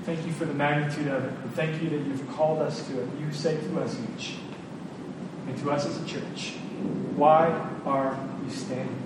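High-voiced man preaching in echoey room. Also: steady tone, moderate pace. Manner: earnest, informal, emphatic repetition. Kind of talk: conversational sermon. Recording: very echoey room, distant mic, clear noise.

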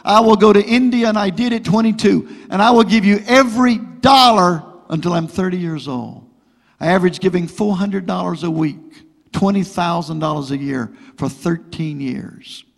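Male preacher, middle-aged, gravelly, fairly high voice, repetitive rising exhortation, church hall reverb. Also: normal speed. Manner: builds to loud rising exhortation, repeats phrases. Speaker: guest preacher. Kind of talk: pentecostal sermon with prayer. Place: church sanctuary.

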